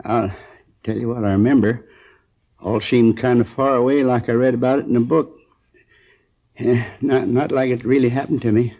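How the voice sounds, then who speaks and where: A dull vintage radio recording of a man acting a frail grocer in his sixties. He is dazed and querulous, speaking haltingly at a medium pitch in an old radio drama. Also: steady tone, natural voice. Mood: dazed, weak. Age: 60s. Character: frail, dazed elderly injured grocer in hospital.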